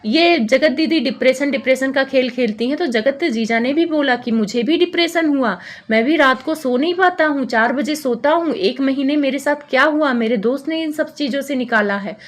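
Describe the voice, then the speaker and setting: A woman's voice: high-pitched, moderate pace, hardly any pauses. A woman vlogger giving informal, opinionated commentary on other people's disputes, in an accusatory and repetitive way. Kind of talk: informal gossip commentary, recapping and judging a dispute.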